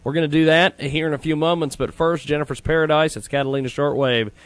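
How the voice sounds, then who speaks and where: A man hosting a live radio show, introducing guests and segments with frequent pauses. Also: a little high, steady tone, no echo.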